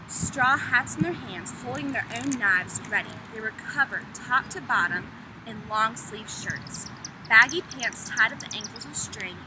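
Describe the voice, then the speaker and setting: Clear outdoor noise surrounds a woman with a fairly high voice. She is a teacher reading a storybook aloud for children. She addresses her young listeners directly as she goes. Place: outdoors in the open. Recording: noisy windy outdoor recording with traffic.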